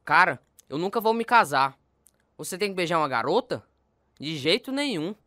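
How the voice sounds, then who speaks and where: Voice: medium pitch, sing-song, moderate pace, sharp tone, no echo, studio quality. A performer playing a playful, defiant little boy, a younger brother in a radio drama. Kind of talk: radio drama dub acting.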